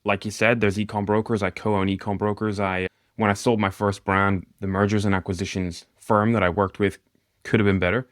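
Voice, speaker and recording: A man in his thirties from Northeast Scotland, with a mixed mid-Atlantic accent with a light Scottish edge, talking casually as a podcast guest, self-deprecating and quick to laugh. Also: fairly low pitch, level tone, a bit bright, dry recording, studio quality. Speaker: guest mentor.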